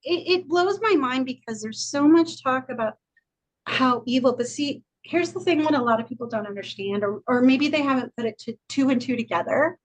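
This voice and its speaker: A woman's voice: high-pitched, animated, moderate pace, low-quality recording. A woman co-hosting a podcast, talking frankly and earnestly in casual chat and laughing easily. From United States. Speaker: podcast co-host.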